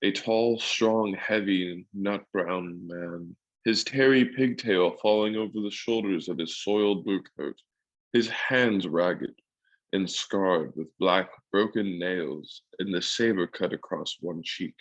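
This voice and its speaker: Low-pitched male actor as solemn adventure narrator. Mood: descriptive. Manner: measured, literary storytelling. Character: grown man solemnly recalling his boyhood adventure. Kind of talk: scripted radio drama narration. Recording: dull lo-fi audio.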